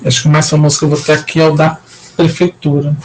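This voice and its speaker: Guy from são paulo, high voice, teaching online, lots of "né" and restarts, bit stuffy from a cold. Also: completely flat delivery, fast, a little mellow, slight background noise, rough recording. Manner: rambling, many fillers and restarts. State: recovering from a cold. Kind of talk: casual online lecture.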